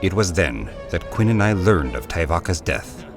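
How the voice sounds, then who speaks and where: A courteous, stern Jedi mentor acted by a man with a very low voice that moves around expressively. Speech is formal and dry, under loud music. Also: sing-song, moderate pace. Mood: grave. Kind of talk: dramatic acting in comic dub.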